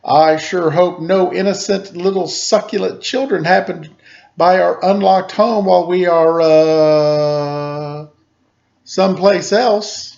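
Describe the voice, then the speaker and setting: A man's voice: sits high, steady tone, slow, vocal fry, a little mellow, dull audio, pitched above the performer's own voice, full character voice. A man voicing a sly, falsely sweet Mama Dinosaur in a coy, theatrical manner for a children's storybook.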